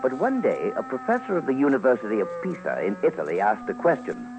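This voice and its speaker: A man's voice: mid-range voice, huge pitch swings, fast, background noise. A man delivering dramatic, scripted radio documentary commentary, theatrical and playful, addressing listeners directly. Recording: dull vintage radio, loud music behind.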